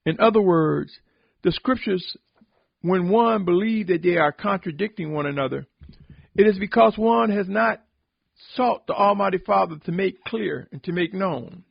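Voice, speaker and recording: A man giving a solemn, sermon-like podcast reflection, exhorting deliberately with emphatic repetition in a husky, gravelly voice. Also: sits high, dry recording, dull audio. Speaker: religious podcast host and preacher.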